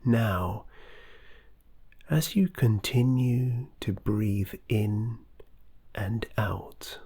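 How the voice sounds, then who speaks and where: Meditation guide, mid-pitched, husky and breathy, extremely slow guided-relaxation delivery with long pauses. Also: warm tone.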